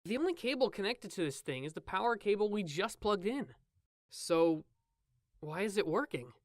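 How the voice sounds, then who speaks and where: A woman voicing a casual, excitable young male college student in a game fan dub. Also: mid-range voice, moderate pace, no echo, studio quality, close to an everyday voice. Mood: casual, puzzled. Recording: music playing behind the voice.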